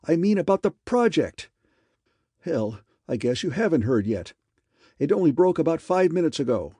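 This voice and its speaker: A man's voice: normal speed, slightly rough, no echo, studio quality. A man reading an audiobook, voicing a frantic male colleague calling with urgent news. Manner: excited, breathless, high-pitched urgency.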